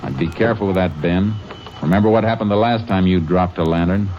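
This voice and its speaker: A man's voice: sits low, fairly quick, a little hiss or hum, lightly acted. A man playing a tough lawman who narrates tersely in a 1950s radio drama. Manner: terse, steady hardboiled narration. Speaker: lead actor and narrator. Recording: muffled old radio broadcast with music.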